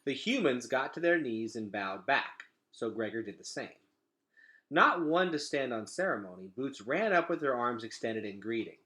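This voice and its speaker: A man reads a children's story aloud with an expressive delivery. His voice is bright and forceful, moving widely up and down in pitch. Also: moderate pace. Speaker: read-aloud reader.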